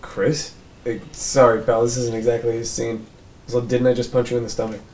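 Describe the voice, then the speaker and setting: A man's voice: mid-range voice, level tone, moderate pace, a little mellow, natural voice. Man acting a brash detective in an echoey room. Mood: protective. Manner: brash, slangy, casual. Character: cocky, foul-mouthed male police detective. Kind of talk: table read of a film script. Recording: echoey room, distant mic, faint noise.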